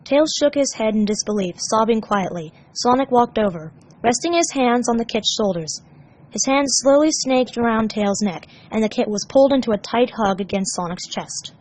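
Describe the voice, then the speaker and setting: A woman's voice: on the high side, expressive, normal speed, a little mellow, poor audio. A woman narrating a scripted story as a fan fiction reader.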